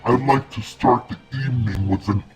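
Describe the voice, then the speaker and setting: A woman doing a very deep growling monster. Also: music in the background. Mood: menacing. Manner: growly, slow, menacing but fond. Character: hungry old male bed monster Gabe.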